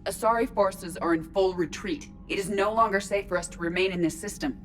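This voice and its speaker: A woman's voice: medium pitch, brisk, faint music behind, lightly acted. A woman playing a composed ship's artificial intelligence in a science fiction game, speaking formally and factually. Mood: calm, factual.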